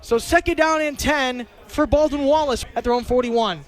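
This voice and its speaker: A young man with a very high-pitched voice calls a football game live on the radio. He describes each play in clipped, detailed phrases, in the style of a play-by-play announcer. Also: normal speed. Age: young adult. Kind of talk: live play-by-play sports commentary.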